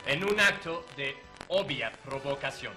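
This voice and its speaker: A man's voice: medium pitch, lively intonation, close to an everyday voice. A man playing a proud Irish deserter captain. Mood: solemn. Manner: measured, earnest storytelling. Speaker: guest in character. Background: music underneath.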